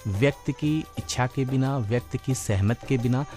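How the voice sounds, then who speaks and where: An Indian man giving an explanatory awareness talk in a formal, measured manner, repeating phrases for emphasis. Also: mid-range voice, music in the background.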